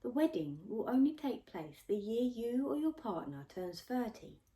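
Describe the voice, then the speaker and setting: A woman voicing a firm, fond older nanny in a storybook, with a brisk, coaxing, gently teasing manner. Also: fairly high pitch, very animated, pitched above the performer's own voice, low-quality recording.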